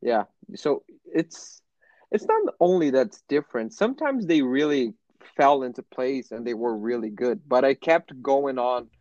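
Relaxed, fluent man hosting casual chat. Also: mid-range voice, measured pace, no echo, muffled recording. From Brazil. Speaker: interviewer. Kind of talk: casual conversation.

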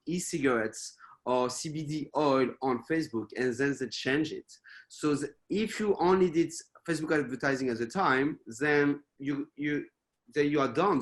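A man with a French accent, a guest speaker on a webinar over a video call, halting with many fillers and restarts. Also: medium pitch. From France. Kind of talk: presenting to a webinar.

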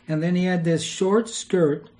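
A man's voice: high voice, measured pace, darkish tone. An older man, a retired missionary, telling rambling anecdotes in an interview and chuckling as he goes. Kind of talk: storytelling interview.

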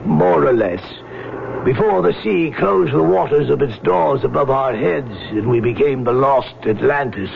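Slow, gravelly, imperious ruler of Atlantis. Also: male voice, medium pitch. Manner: stately, formal, declamatory, deliberate phrasing. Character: grave, imperious male autocrat of sunken Atlantis. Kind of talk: old-time radio drama acting. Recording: muffled, noisy old radio broadcast recording.

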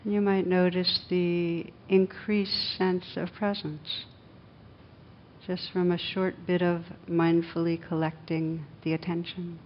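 Low-voiced woman guiding meditation. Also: flat delivery. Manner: gentle, soothing, unhurried with long pauses. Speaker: meditation teacher. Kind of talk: guided meditation. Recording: dull muffled recording with faint rumbling noise.

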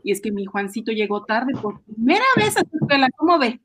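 A woman plays an affectionate, fussy Peruvian mother in a radio drama. Her voice is high. She chatters, coaxes and exclaims as she bustles about the house. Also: very animated, fairly quick, slightly rough. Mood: chatty, fussy. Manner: exclaiming, repetitive, coaxing, sighing. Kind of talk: amateur Spanish-language radio drama acting.

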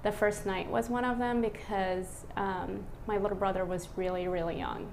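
A woman in her thirties from Iraq gives a podcast interview in a relaxed, reflective way. Her speech has mid-range pitch for a woman and is full of easy "um" and "like" fillers. A faint hiss sits under her voice. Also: moderate pace, gravelly, low-quality recording. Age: 30s. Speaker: podcast guest, physician. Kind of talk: casual conversational interview.

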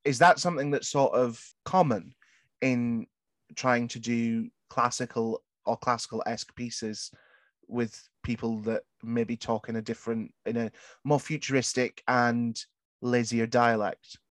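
A man's voice: medium pitch, fairly even intonation, dry recording. A young man in his twenties hosting a podcast, chatting candidly with many stops, restarts and swear words. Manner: swears a lot, halting restarts. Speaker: podcast host. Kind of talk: candid casual chat.

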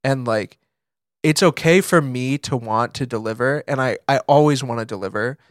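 A young American man with a medium-pitched voice speaks as a podcast co-host. His delivery is casual and confessional, moving haltingly as he thinks aloud. The recording is dry, without room sound. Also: no room sound.

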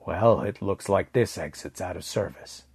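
A man voicing a weary, protective male space rescue diver, gentle and plain-spoken, in a science fiction story. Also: low voice, moderate pace, creaky, breathy edge, no room sound, heavily acted. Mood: wry, dismissive.

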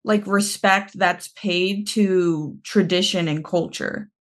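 A woman's voice: on the low side, steady tone, slow. A woman hosting a podcast, chatting casually and agreeably with her guest. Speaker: podcast host.

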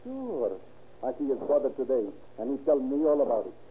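A man's voice: medium pitch, very animated, a little fast, lightly acted. An actor plays a small-time informant, pleading his case in a vintage radio crime play. The sound is thin and band-limited, lightly noisy. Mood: insistent. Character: pleading Mexican male petty crook and informant. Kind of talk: 1930s radio drama acting. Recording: noisy, tinny old-time radio recording.

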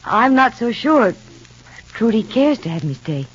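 A gentle, uneasy young woman in an old radio soap. The voice is husky with lively intonation, heard through a dull vintage recording. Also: on the high side, unhurried, exaggerated voice. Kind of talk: 1950s radio soap acting.

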